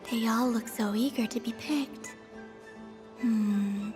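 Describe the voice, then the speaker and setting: A thoughtful young heroine from a video game, talks slowly in a somewhat high voice. She is gentle and hesitant, musing as she goes, with music clearly behind her. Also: fairly even intonation. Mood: musing, kindly.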